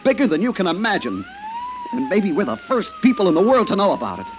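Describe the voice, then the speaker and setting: An actor playing a nervous, secretive, stubborn family man in an old radio drama, halting and stammering but insistent. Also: high-pitched, huge pitch swings. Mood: urgent, intense. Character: jittery, secretive, stubbornly possessive suburban husband and father. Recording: muffled, hissy vintage radio recording.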